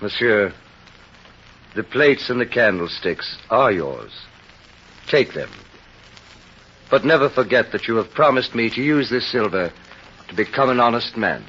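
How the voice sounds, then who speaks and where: Male elderly bishop: merciful, steadfast, measured, husky mid-range voice, old radio drama, dull recording. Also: medium pitch, lively intonation, a bit bright, heavily acted. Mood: solemn. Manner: measured, solemn. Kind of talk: 1930s radio drama acting.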